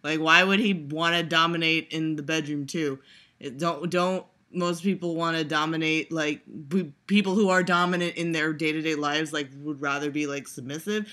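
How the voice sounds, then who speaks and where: A woman's voice: deep voice, fairly even intonation, normal speed, a bit bright. A young woman vlogger giving a sarcastic, profane reaction, rambling with lots of laughs and fillers. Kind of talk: casual reaction commentary.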